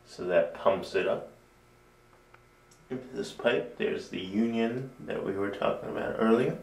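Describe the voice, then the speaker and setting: Slow-talking man demonstrating a plumbing build. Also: fairly low pitch, gravelly, a little mellow, roomy sound, far from the mic, poor audio. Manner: unhurried, explains step by step while working with his hands. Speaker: DIY video host. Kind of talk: casual how-to demonstration. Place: apartment room and tiled bathroom, indoors.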